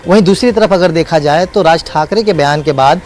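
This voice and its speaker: A man's voice: on the high side, a little fast, a little mellow, a little hiss or hum. A man reporting live as a news correspondent, speaking unscripted in emphatic, repetitive phrases with hesitant fillers.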